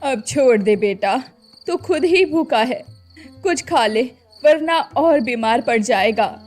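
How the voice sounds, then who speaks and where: A woman voicing a poor, worried and loving old village mother who pleads slowly and tearfully. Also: on the high side, expressive, darkish tone, faint music behind.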